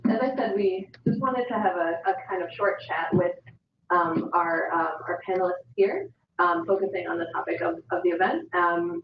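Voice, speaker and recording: A woman's voice: on the low side, slight background noise. A woman moderating a panel at an event, hesitant with many fillers. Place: event room. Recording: echoey room from a distance, dull.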